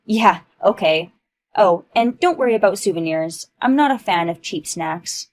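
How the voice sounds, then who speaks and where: A woman's voice: mid-range voice, big pitch swings, brisk, a little mellow. A woman voicing a snobbish, boastful rich mom who talks down to others. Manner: haughty, condescending.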